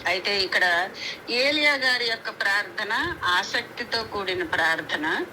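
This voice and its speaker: An Indian woman preaches in an earnest, deliberate devotional style, repeating key phrases on a conference call. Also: a little high, pushed and bright. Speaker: preacher leading a prayer meeting. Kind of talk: devotional sermon with scripture reading and prayer. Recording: noisy conference call recording.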